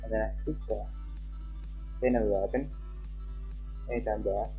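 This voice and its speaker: A man's voice: fairly low pitch, fairly even intonation, measured pace, dark tone. A young man live-streaming a game, chatting casually and reading on-screen text aloud. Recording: dull lo-fi mic with background music. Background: game music.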